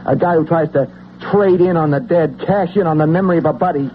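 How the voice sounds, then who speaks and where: Radio play role: streetwise, distrustful American working-class vet male actor, higher-set voice, blunt slang, thin telephone-like old broadcast sound. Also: fairly quick, slight background noise, lightly acted. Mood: hostile, scornful. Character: gruff, streetwise, suspicious working-class Vietnam War veteran. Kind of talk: American radio drama acting. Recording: narrow tinny old radio broadcast, music.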